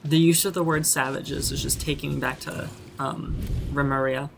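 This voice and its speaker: Creaky-voiced, blunt game streamer. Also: medium pitch, steady tone, normal speed, background noise. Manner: blunt, sweary. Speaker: gaming streamer. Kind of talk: casual playthrough commentary.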